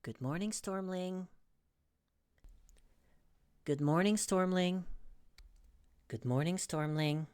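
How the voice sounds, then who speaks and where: A woman performing a cheerful streamer persona with a deliberate, sing-song greeting delivery for fans. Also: fairly low pitch, a little mellow, smooth, pitched above the performer's own voice. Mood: bright greeting.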